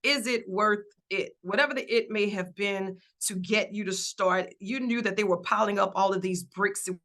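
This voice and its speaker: A woman hosting a talk show with a bright, forceful voice. She chats in a lively, animated way, laughs easily, and acts out imagined quotes. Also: medium pitch, moderate pace. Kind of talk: lively interview chat.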